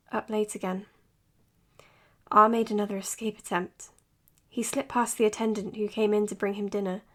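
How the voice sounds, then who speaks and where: A woman's voice: mid-range voice, level tone, unhurried, pauses often, lightly acted. A woman acting in an audio drama as an earnest, matter-of-fact psychologist giving her case notes. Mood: tired, matter-of-fact. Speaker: doctor. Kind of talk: audio drama, recorded clinical log.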